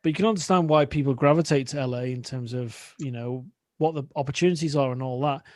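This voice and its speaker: A man's voice: mid-range voice, fast, no echo, studio quality. A man hosting a podcast interview over a call, rambling and repeating words as he thinks aloud, tired after a long day of shooting. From United Kingdom. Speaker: podcast host. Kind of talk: casual remote interview chat.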